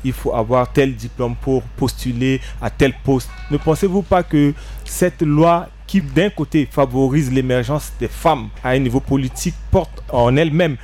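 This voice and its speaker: A man moderating a panel talk show, asking long formal questions in a measured way. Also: medium pitch, a little mellow, soft background music.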